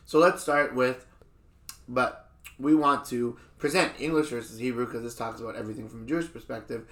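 A man's voice: medium pitch, fairly even intonation, fairly quick, a bit bright. A man hosting a podcast in a casual, conversational way, explaining his points and repeating phrases for emphasis. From born in a country outside Israel. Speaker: podcast host.

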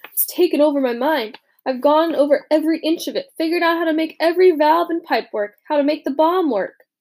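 A woman voicing an eager, intense young male inventor in an audiobook, earnest and quick to rally. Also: sits high, a little mellow, pitched above the performer's own voice. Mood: fervent. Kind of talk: audiobook character reading.

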